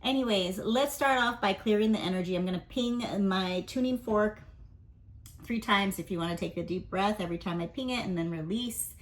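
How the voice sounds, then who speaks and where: A woman's voice: mid-range voice, brisk. Candid, rambling woman reading horoscopes. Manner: candid and rambling, heavy fillers, casual swearing. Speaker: tarot and astrology reader. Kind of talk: casual spoken weekly horoscope reading.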